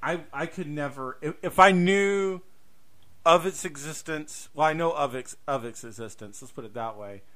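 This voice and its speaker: Bright, forceful man podcasting. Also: a little high, normal speed. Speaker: podcast host. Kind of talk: casual podcast conversation.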